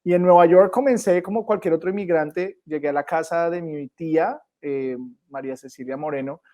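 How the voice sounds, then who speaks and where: A man in his late thirties from Bogotá, Colombia, telling his story in an interview at an unhurried pace, pausing often on "eh". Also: a little high, dark tone. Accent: Bogotá Colombian Spanish. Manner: unhurried, reflective, with frequent "eh" fillers. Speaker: guest.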